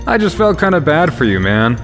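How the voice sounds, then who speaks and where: A man's voice: a little high, sing-song, brisk. A man playing a laid-back, scruffy adventurer in a fantasy audio drama, casual and cheeky. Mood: pitying candour. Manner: casual, blithely friendly, slangy. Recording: music behind the voice.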